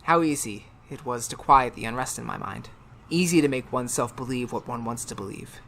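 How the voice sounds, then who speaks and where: Woman playing a tormented man in radio horror, low voice. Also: gravelly, a bit bright, a little hiss or hum, heavily acted. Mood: uneasy reasoning. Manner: melodramatic, halting, emphatic repetitions. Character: tormented man haunted by visions, Darrell, unravelling into horror. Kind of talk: 1930s radio horror drama monologue.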